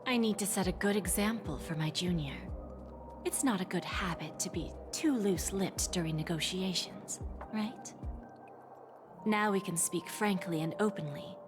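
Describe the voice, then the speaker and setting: Woman acting cool corporate negotiator lady from a game, measured n commanding, quietly menacing, music playing behind her. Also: on the low side, natural voice. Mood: composed. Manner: measured, formal, smoothly commanding. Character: composed, coolly threatening senior corporate negotiator, a woman. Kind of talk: scripted video game voice acting.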